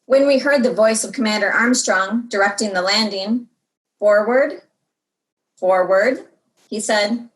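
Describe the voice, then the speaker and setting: A woman reading a storybook aloud to young children in an expressive way, drawing out words for dramatic emphasis. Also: medium pitch, moderate pace, few pauses, poor audio.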